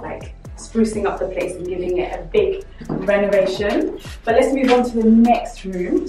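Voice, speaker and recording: A woman's voice: medium pitch, darkish tone. A young woman giving a casual, chatty house tour straight to her viewers, walking through empty rooms. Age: young adult. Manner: chatty, addressing viewers directly. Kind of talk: casual vlog walkthrough. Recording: echoey empty rooms, distant mic, music.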